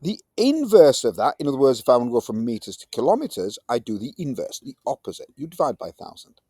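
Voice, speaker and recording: A man in his fifties with a Yorkshire accent, teaching a science lesson in a chatty, lively manner with British slang. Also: mid-range voice, fast talker, breathy edge, no echo, studio quality. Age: 50s. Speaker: tutor. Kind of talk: recorded tutoring lesson.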